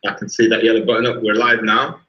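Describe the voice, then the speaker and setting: A man's voice: fairly low pitch, little pitch movement, quick pace, bright, forceful tone. A man co-hosting a live online masterclass over a video call, talking loosely with many fillers and speaking in a friendly way to viewers. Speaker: co-host, business partner. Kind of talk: casual live-stream presentation. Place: Saigon, Vietnam, at night. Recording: distant, muffled remote call audio.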